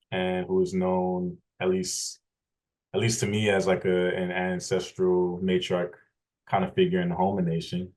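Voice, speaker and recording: Low, flat-voiced man from Louisiana. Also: rough recording. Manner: measured, frequent restarts and fillers, explanatory. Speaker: interview guest. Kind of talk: thoughtful conversational storytelling.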